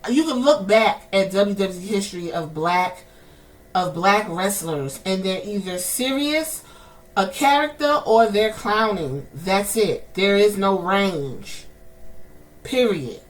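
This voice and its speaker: A woman's voice: mid-range voice, lively intonation, takes it slowly, far from the mic, poor audio. A woman with a New York accent, hosting a podcast and ranting in heated, profane commentary. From New York City. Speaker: podcast host.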